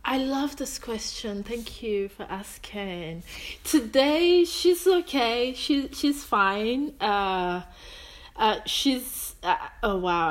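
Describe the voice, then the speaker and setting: Young adult woman from Greece, interview guest; animated, searching delivery with many restarts. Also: fairly high pitch. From Greece, of Nigerian heritage. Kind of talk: casual conversation.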